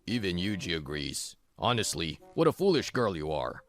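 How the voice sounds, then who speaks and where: A stingy older father-in-law as an actor plays him, pompous and contemptuous, with lively pitch swings. It is a manga drama, with music behind him. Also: moderate pace. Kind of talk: manga dub drama acting.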